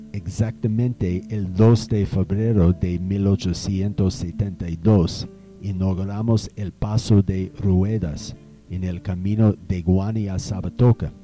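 Man acting a slow, stately old-time gentleman. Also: fairly low pitch. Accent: Colombian. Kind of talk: amateur radio drama acting.

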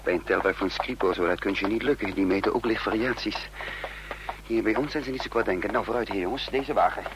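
Low-voiced, resourceful man on the run , acted. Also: fairly quick, lightly acted. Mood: instructive, urgent. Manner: patient, instructive, commanding. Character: resourceful male fugitive leader, calm explainer and narrator. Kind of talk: Dutch radio drama acting. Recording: noisy old radio drama recording.

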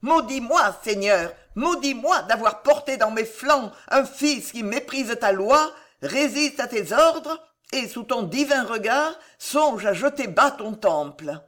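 A narrator voicing a fierce, fanatical queen mother in a loud, commanding, declamatory way with a hoarse edge. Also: mid-range voice, lively intonation, takes it slowly, voice pushed up. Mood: fanatical pleading. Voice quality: hoarse, gravelly.

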